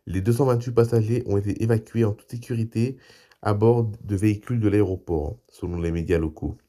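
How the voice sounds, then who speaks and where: A man hosting a homemade aviation news show while stuffed up with a cold, so he keeps his voice low and soft. His tone is mellow and dark, and he moves between reading articles and chatting loosely with his viewers. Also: fairly even intonation, fairly quick. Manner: reads articles, then adds loose spoken asides. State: has a cold, speaks softly. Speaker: YouTube news show host.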